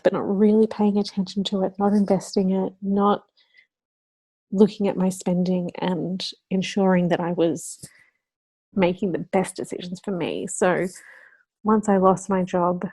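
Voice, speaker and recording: A woman from Australia hosting her budgeting channel, talking casually to camera with a slightly croaky voice. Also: medium pitch, mellow, no room sound.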